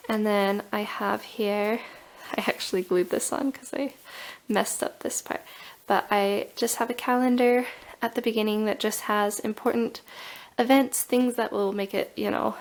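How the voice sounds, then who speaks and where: Chatty woman with a cold, slightly gravelly. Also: medium pitch, rough recording. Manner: chatty, self-describedly nerdy, thinks aloud with fillers. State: has a cold. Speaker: booktuber. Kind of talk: casual walkthrough vlog. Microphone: overhead camera on table, hands only.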